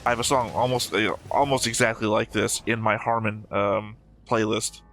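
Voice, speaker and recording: A man's voice: on the low side, steady tone, normal speed, fairly bright tone, a little hiss or hum. A man co-hosting a reaction show, commenting casually in a dry, analytical way.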